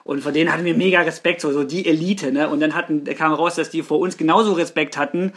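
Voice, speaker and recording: A man in his late thirties from southern Germany with a fairly high voice. He talks casually and informally as a guest on a podcast. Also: steady tone, quick pace, a bit bright, slightly rough. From Swabia, southern Germany. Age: late 30s. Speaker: podcast guest, youth church worker. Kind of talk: casual chat.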